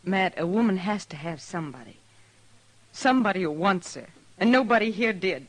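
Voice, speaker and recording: A woman's voice: mid-range voice, animated, normal speed, lightly acted. An actress playing a world-weary, defiant frontier woman in a radio western, plaintive but hard-edged. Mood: bitter, defiant. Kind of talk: 1950s radio western acting.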